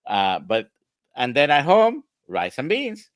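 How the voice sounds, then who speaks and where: A man's voice: mid-range voice, wildly swooping pitch, takes it slowly, no echo, clean studio sound. A male doctor from Puerto Rico, explaining diet to an interviewer in an emphatic, conversational way with rhetorical questions.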